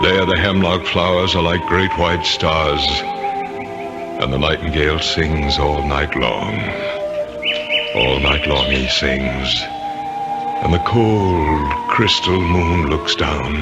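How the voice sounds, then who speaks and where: An actor playing a solemn, longing old ghost, reciting poetically in a 1970s radio drama. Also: male voice, extremely low pitch, exaggerated voice. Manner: slow, solemn, incantatory. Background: loud music.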